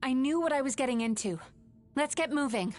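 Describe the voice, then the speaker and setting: A woman voicing a calm, resolute young dream-eating woman in a video game, speaking in a gentle, composed and serious way. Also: high voice, brisk. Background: game music.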